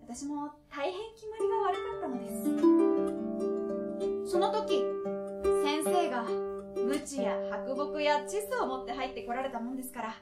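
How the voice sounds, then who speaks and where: A young woman recites a story on stage in a theatrical, deliberate way. Her voice is high-pitched and heard from some distance in a roomy space. Plucked harp music plays behind her. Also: lively intonation. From Tokyo, Japan. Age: 20s. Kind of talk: expressive literary recitation. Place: small indoor stage. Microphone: far camera.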